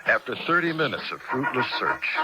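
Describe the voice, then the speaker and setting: A man's voice: medium pitch, very animated, normal speed. An American man doing scripted narration as host of a radio drama. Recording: vintage radio broadcast over loud music.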